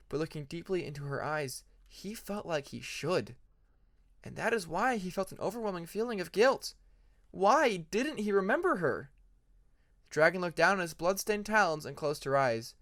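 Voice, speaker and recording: Lightly pitched man narrating a story. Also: fairly bright tone, dry recording, studio quality. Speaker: audiobook narrator.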